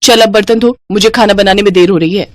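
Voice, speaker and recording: A woman voicing a bossy, quarrelsome elder sister-in-law with a sharp-tongued, sarcastic way of scolding, in a family story. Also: mid-range voice, fairly even intonation, fast talker, no room sound, natural voice. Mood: exasperated scolding.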